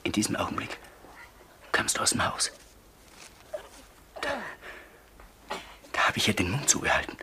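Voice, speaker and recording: An actor playing a tormented man confessing in a crime radio play, haltingly, often dropping toward a whisper. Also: lowish voice, big pitch swings. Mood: agitated, accusing. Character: tormented man confessing a killing to his brother. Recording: slightly noisy old radio play recording.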